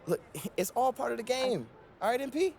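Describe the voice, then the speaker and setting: An actor playing a goofy, excitable young man, a star's playful hype friend, in a video game cutscene. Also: very high-pitched, moderate pace, gravelly, a little hiss or hum, heavily acted. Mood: upbeat, scheming. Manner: playful, theatrical, slangy. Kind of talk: video game cutscene acting, casual banter.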